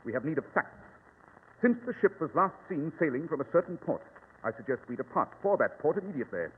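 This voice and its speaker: An actor playing a brilliant, haughty English gentleman detective in old-time radio drama, precise, pompous and commanding. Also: on the high side, big pitch swings, fast, breathy edge. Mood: assured. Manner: precise, pompous, theatrical delivery. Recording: tinny, noisy, reverberant old radio recording.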